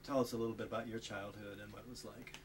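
A man interviewing a guest for an oral history, asking halting questions with many fillers. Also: lowish voice, little pitch movement, a little hiss or hum. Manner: hesitant, prompting with short questions.

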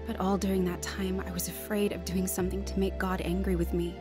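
An actress playing an anxious, earnest young wife terrified of death, in a radio drama. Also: female voice, medium pitch, little pitch movement, a little fast, music in the background, natural voice. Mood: brooding. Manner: earnest, fretful. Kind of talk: radio drama acting and narration.

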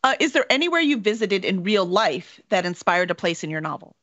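A woman in her thirties or forties hosts an informal interview in a medium-pitched voice. She talks casually, as in a chat. The video-call sound is dry and a bit muffled. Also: sing-song, brisk, fairly bright tone, no room sound. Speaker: interview host. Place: home room indoors, video call. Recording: dry, slightly dull video-call audio.

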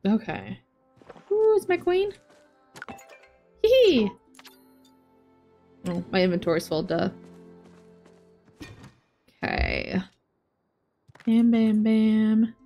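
A young woman in her twenties chatting casually while she plays a game on stream, thinking aloud through a headset mic, her voice a bit raspy today. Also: on the high side, sing-song, drawn-out words, long pauses, soft background music. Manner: chatty, thinking aloud, soft asides to chat. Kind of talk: casual gameplay commentary.

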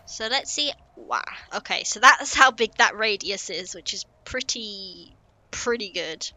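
A woman's voice: mid-range voice, lively intonation, measured pace, sharp tone, dry recording. A young woman, chatting casually to herself while playing a building game on stream. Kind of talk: casual gameplay commentary.

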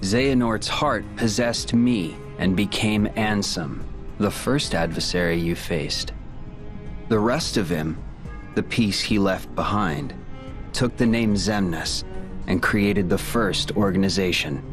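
An actor playing a cold, smug young male villain in a video game, explaining and taunting in measured lines. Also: on the low side, faint music behind. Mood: cold, explanatory. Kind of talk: dramatic video game cutscene acting.

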